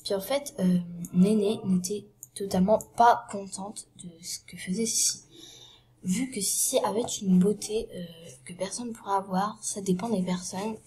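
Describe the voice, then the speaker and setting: A woman with a cold retelling a story in a casual, halting way, often dropping to a hushed half-whisper and filling pauses with fillers. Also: mid-range voice, steady tone. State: has a cold.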